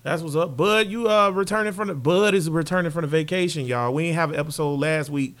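A man in his twenties from Jacksonville, co-hosting a casual sports podcast, joking, laughing and loudly quoting famous soundbites. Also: a little high, fast talker. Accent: Jacksonville, Florida. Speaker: podcast co-host.

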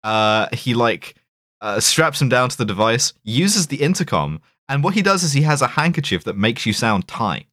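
Animated male podcast co-host, close dry sound. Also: mid-range voice, moderate pace, dry recording. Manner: jokey, digressive. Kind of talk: casual film recap chat.

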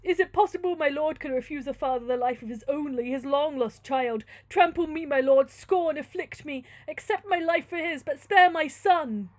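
A woman's voice: high voice, expressive, moderate pace. A woman voicing an anguished, pious old friar in a gothic novel, pleading fervently and melodramatically. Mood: desperate. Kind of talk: gothic novel read aloud.

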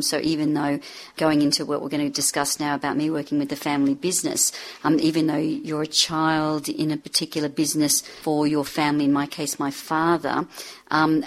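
Low-voiced woman in her fifties. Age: 50s. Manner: reflective, frequent fillers and restarts. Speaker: author and speaker, guest. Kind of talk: interview conversation.

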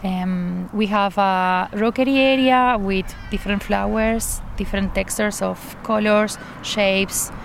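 A woman's voice: mid-range voice, fairly even intonation, takes it slowly, gravelly, few pauses. Argentine woman garden coordinator, earnest, light laughs, faint outdoor noise. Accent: Argentine Spanish. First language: Spanish. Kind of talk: interview, personal account.

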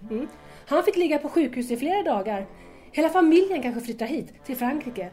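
A woman's voice: sits high, lively intonation, mellow, faint music behind. An actress playing an earnest, polite young woman in an audio drama, speaking in a formal, sincere way. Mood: troubled, earnest.

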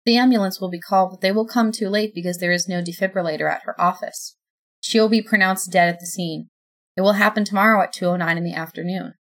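A woman voicing Death, an ageless, solemn being who speaks in a flat, even tone. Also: mid-range voice, fast, lightly acted.

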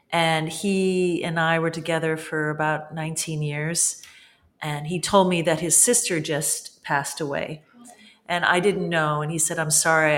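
A woman hosting an interview, gently prompting her guest and talking in a relaxed, encouraging way. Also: low voice. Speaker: host. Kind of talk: casual interview talk.

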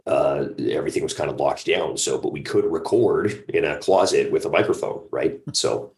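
A man's voice: rumbling low, normal speed. A man talking casually as a guest in an interview, explaining his creative work in a conversational way. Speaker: guest, audio drama creator.

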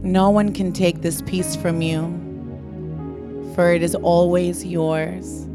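Slow soothing woman meditation guide. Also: lowish voice, little pitch movement. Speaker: meditation leader. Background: new-age music.

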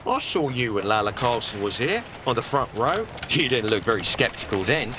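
An actor playing an easygoing, upbeat wartime railway labourer in a naturalistic radio drama, with colloquial, matey banter. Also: male voice, mid-range voice, very animated, normal speed, background noise, close to an everyday voice. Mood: teasing, upbeat. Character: upbeat, easygoing male Norwegian railway construction worker in wartime.

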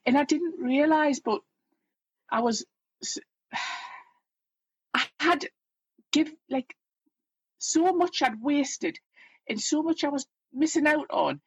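A chatty Geordie woman in her fifties, candid about her life and quick to laugh at herself. Her voice sits fairly high with a husky edge. The recording is close and dry, with a slightly dull, muffled sound. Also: dry recording. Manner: chatty, self-deprecating, plain-spoken. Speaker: guest. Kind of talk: candid personal storytelling.

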